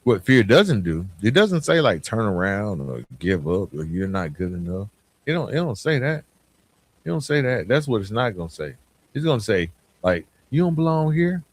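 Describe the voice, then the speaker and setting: A man's voice: fairly low pitch, big pitch swings, moderate pace. A man hosting a motivational podcast, speaking earnestly and emphatically in colloquial language straight to the listener. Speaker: podcast host.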